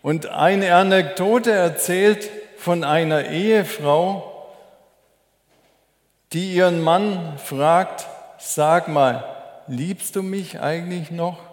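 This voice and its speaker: A man preaching a sermon as a preacher, in a measured, deliberate, explanatory way. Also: high-pitched. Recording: echoey hall with long reverb.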